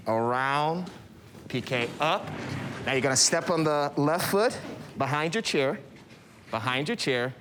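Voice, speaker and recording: Slow-talking man coaching a dance class. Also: medium pitch. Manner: counting out steps and coaching. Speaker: dance teacher. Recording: studio noise in background.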